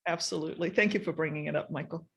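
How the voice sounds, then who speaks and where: A woman's voice: deep voice, very fast, gravelly. A woman chairing a committee meeting, who often stumbles and repeats words while she thinks.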